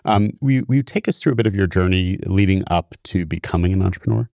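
A man with a very deep voice speaks quickly as he hosts an interview. The sound is close and dry, and he drives the talk forward with probing questions. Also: gravelly, darkish tone, no room sound. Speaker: interview host.

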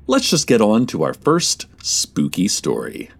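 A man playing a theatrical radio host who delivers spooky monologues in an expressive, deliberate manner. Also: on the low side, vocal fry, breathy edge, full character voice. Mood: chatty, bemused. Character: spooky radio show host, chatty, theatrical, naively unbothered.

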